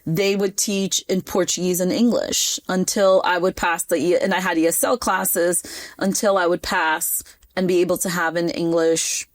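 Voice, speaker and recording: Podcast guest: animated American woman in her forties, dry close sound, chatty and laughing. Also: fairly low pitch, dry recording. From United States (New Jersey). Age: 40s. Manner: animated, chatty, laughs often, quick asides. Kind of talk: casual interview storytelling.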